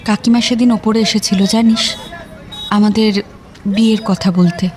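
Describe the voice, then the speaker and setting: A young woman acting in an audio drama as a worried, wistful sister, confiding in a halting, emotional way. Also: medium pitch, fairly even intonation, darkish tone, a faint crowd, close to an everyday voice. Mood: worried, hesitant. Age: young adult. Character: worried, wistful young working woman devoted to her ailing brother. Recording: music bed under the voice.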